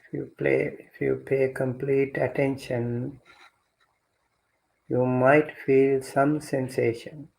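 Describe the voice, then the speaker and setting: A man guides a meditation in a very slow, even voice with little rise or fall. His tone is mellow and creaky, and he gives gentle instructions with long pauses. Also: mid-range voice, low-quality recording. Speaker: meditation teacher leading guided meditation.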